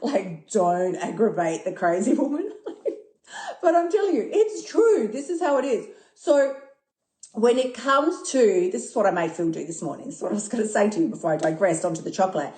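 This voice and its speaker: A woman in her fifties who talks excitably, swears a lot and laughs often during a casual live stream. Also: mid-range voice, normal speed. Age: 50s. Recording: echoey room.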